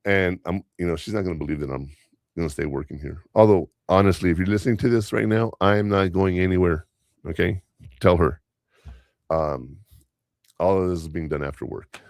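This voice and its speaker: A man's voice: low-pitched, fairly quick, a little mellow, no room sound, studio quality. A man whose first language is Spanish, hosting a podcast in a casual, rambling way, telling stories from work and chuckling often. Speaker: podcast host and school teacher.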